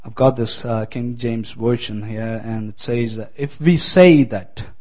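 Guy from giving a sermon, lots of ums and restarts, middle pitch, friendly. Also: unhurried, a little mellow. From Kerala, India. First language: Malayalam. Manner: hesitant, many fillers and restarts, friendly. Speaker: guest preacher.

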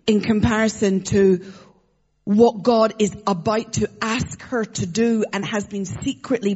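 A woman's voice: mid-range voice, mellow, lo-fi sound. A woman with an Irish accent, a guest preacher giving a conversational sermon, chatty and joking with frequent fillers. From Ireland.

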